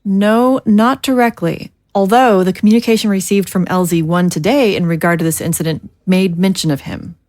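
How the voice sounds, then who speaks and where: A woman's voice: mid-range voice, steady tone, moderate pace, hardly any pauses, darkish tone, dry recording, clean studio sound, lightly acted. Composed, formal woman leader in a drama, acted. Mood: measured, grave. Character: composed, formal female government leader briefing a council. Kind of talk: audio drama acting.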